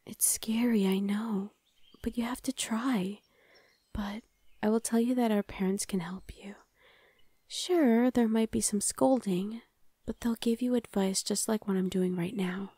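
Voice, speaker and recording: A woman playing a soft-spoken caring big sister. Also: mid-range voice, fairly even intonation, a little slow, slightly rough, no room sound. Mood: reassuring. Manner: soft, half-whispered, reassuring. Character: gentle caring older sister, young adult woman, student. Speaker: voice actor. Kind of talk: ASMR roleplay.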